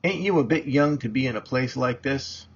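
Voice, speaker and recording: A folksy old man, voiced by a male narrator in a storybook reading, blunt and prying. The voice is very husky, on a dull lo-fi recording. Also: medium pitch, normal speed, voice pushed up. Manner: folksy, blunt, persistent questioning.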